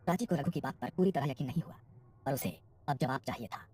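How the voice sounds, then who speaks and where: A woman's voice: rumbling low, fast talker, warm tone, slight background noise. A woman narrating a scripted horror story as its storyteller.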